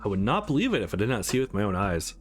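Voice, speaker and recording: A man's voice: on the low side, sing-song, rapid-fire, soft background music, voice pushed down. Brusque, exacting woman doctor in a visual novel, acted by a man, clipped and formal. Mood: dismissive.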